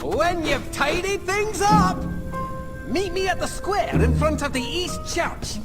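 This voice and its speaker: Very high-pitched cheeky toy cat. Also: female voice, expressive, slow, loud music behind the voice, heavily acted. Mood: brisk, cheerful. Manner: chirpy, teasing. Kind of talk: video game character acting.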